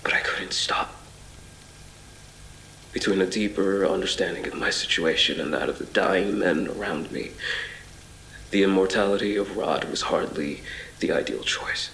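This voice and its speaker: An actor playing a haunted man recounting a surreal ordeal in a brooding, literary horror audio drama monologue, full of pauses. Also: low voice, gravelly, fairly bright tone, a little hiss or hum. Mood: brooding, uneasy. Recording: reverberant voice over music.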